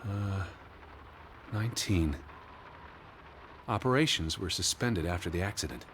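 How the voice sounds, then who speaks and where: An actor playing a weary, anxious, bookish gentleman with a formal, precise manner in a 1920s period drama game. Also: deep voice, lively intonation, unhurried, slight background noise, full character voice. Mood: sober, informative. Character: weary, anxious, bookish middle-aged gentleman searching for his sister.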